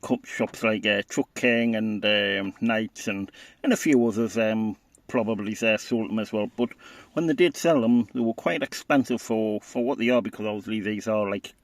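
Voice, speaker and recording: A man giving a casual, unscripted product walkthrough as a hobbyist, halting and matter-of-fact. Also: on the low side, dry recording. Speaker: hobbyist video presenter.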